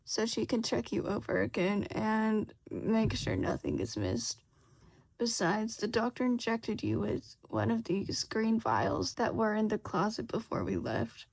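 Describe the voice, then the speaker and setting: A woman's voice: a little high, creaky, no room sound, heavily acted. A woman voicing a concerned, practical man in the dialogue of a story reading. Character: concerned, practical man planning medical checks.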